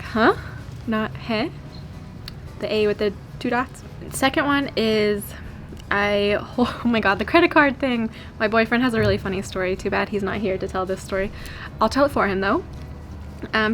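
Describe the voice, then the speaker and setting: A young American woman from California, chatting to camera in a lively, giggly vlog. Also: medium pitch, slight background noise. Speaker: vlogger. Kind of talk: casual chat to camera.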